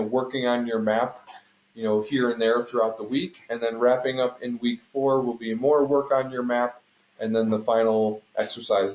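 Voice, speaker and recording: A man's voice: medium pitch, a little mellow. Man teaching online in a dull, roomy sound. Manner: explanatory, often checking with "right?". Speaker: instructor teaching an online game design class. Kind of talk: informal screen-share walkthrough to students. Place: indoor room, online conference call. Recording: roomy, dull conference call audio.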